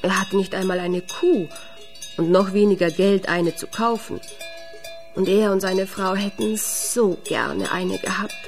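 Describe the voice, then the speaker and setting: A woman's voice: medium pitch, soft background music. Woman narrating a fairy tale. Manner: measured storyteller delivery.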